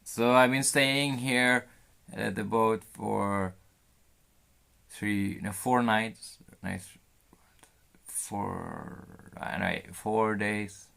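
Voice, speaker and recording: A man from Sweden with a Swedish accent, chatting casually with viewers on a live stream from a cold sailboat, in a halting way with restarts. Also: lowish voice, steady tone, gravelly, a bit bright, clean tone.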